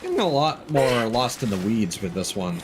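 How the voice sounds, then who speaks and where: A man's voice: medium pitch, big pitch swings, normal speed, noisy background. A man giving casual live commentary as he streams a video game, thinking aloud hesitantly.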